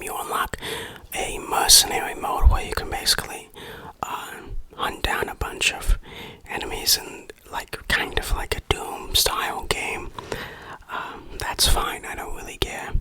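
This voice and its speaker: A person whispering an informal, opinionated ASMR game review in a breathy, unvoiced voice. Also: sharp tone, rough recording.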